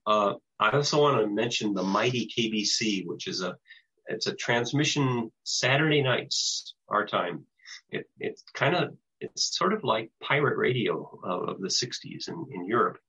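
Older American man, husky, low-pitched, halting informal presenter, dull lo-fi video call sound. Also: lively intonation, bright, forceful tone. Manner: halting, hesitant delivery. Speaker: presenter, radio show producer. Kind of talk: informal presentation and question-and-answer. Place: small home office with bookshelves, indoors. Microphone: computer mic on a video call.

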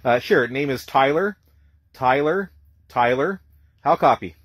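A man's voice: mid-range voice, animated, measured pace, slightly rough, dry recording. A man working as an amateur radio operator, speaking plainly in radio jargon and repeating details for clarity. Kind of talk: ham radio contact, phonetic spelling.

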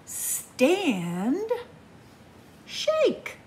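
Very slow, animated woman reading to children. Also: high voice, a little hiss or hum. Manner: playful, sing-song, addressing child listeners directly. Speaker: children's librarian reading aloud. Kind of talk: picture-book story time for young children. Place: public library room among bookshelves.

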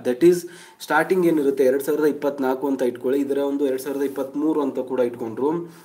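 Mellow-voiced man explaining the news. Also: mid-range voice. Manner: reads aloud, mixing English words into Kannada. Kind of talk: explaining news over a scrolling article. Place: voice-over over a screen recording.

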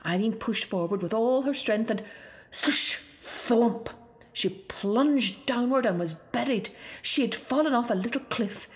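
A woman's voice: a little high, animated, unhurried. A woman narrating a children's storybook expressively. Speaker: storybook reader.

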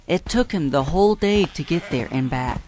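Storybook narrator over clear background noise. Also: mid-range voice, lively intonation, normal speed, darkish tone.

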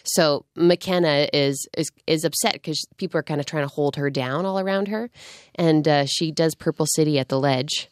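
A woman's voice: deep voice, dry recording, clean studio sound. A woman with a Canadian accent, an upbeat, chatty theatre producer talking as a guest in a radio interview. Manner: chatty, upbeat, quick to laugh. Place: radio studio.

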